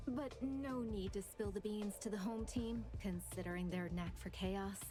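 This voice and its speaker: A woman's voice: medium pitch, lightly acted. A woman playing a cocky, teasing female boss in a video game, sardonic and breezy. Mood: smug, playful.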